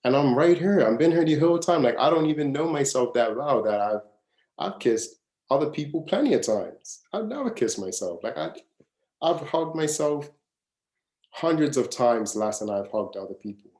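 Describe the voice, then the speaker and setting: A man's voice: mid-range voice, animated, moderate pace, a little mellow. A man in his thirties giving a live wellness masterclass, talking casually and earnestly and repeating phrases for stress. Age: 30s. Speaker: wellness coach. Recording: echoey room.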